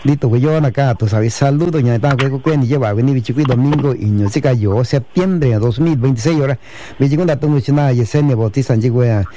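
A man hosts a community radio broadcast with a mellow, darkish voice. He reels off long strings of greetings to towns and listeners, and the sound carries the feel of a radio transmission. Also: mid-range voice, fairly quick, slight background noise. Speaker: radio host.

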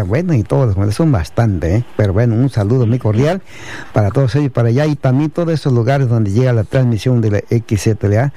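A man hosts a community radio broadcast with a mellow, darkish voice. He reels off long strings of greetings to towns and listeners, and the sound carries the feel of a radio transmission. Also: mid-range voice. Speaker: radio host.

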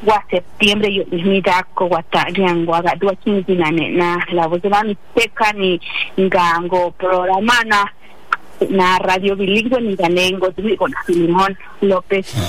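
A woman's voice: mid-range voice, a little hiss or hum, poor audio. Female radio announcer, native Triqui speaker, chatty with frequent fillers, heard over a radio broadcast. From Triqui region of Oaxaca, Mexico. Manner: chatty, many "este" fillers. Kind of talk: casual on-air chat.

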